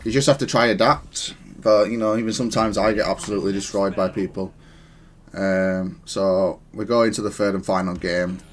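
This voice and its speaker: A man giving casual, analytical commentary on his own gameplay, self-critical but matter-of-fact and even-tempered. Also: on the low side, moderate pace. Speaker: gaming content creator commentating on own matches.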